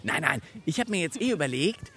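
Very animated man hosting a children's show. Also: fairly high pitch, a little fast, slightly rough. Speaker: children's radio show host. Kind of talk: casual playful chat for children.

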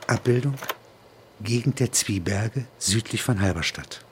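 A man's voice: fairly low pitch, measured pace, background noise. A man narrating a scripted, documentary-like literary text.

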